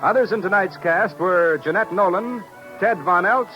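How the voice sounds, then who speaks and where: Male announcer, high voice, formal old-time radio delivery over music, dull vintage sound. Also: moderate pace. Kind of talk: formal 1950s radio announcing, scripted credits.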